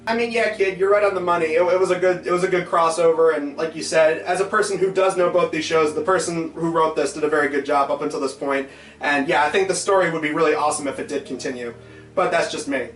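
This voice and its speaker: A man's voice: on the high side, fairly even intonation, fast talker, a bit bright, echoey room, distant mic, soft background music, close to an everyday voice. A man voicing an agreeable co-host character who chats in a casual, approving way.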